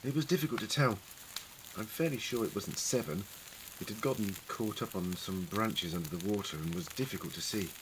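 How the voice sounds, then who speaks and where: A man playing an eccentric, dignified time-traveller in an audio drama, speaking in a wry, formal, wordy way with gentle authority. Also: lowish voice, a little fast, lightly acted. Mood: measured, curious. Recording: prominent crackling ambience noise.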